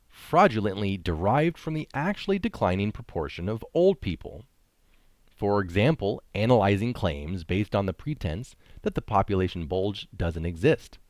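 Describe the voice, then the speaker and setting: A man's voice: fairly low pitch, no room sound, studio quality. A man reading an article aloud with casual asides, speaking emphatically and posing rhetorical questions. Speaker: reader of articles.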